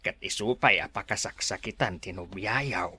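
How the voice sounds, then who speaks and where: A husky, fawning underling. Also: male voice, low voice, normal speed, sharp tone, dry recording, exaggerated voice. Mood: fawning, excited. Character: fawning, eager underling reporting to the boss. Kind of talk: radio drama acting.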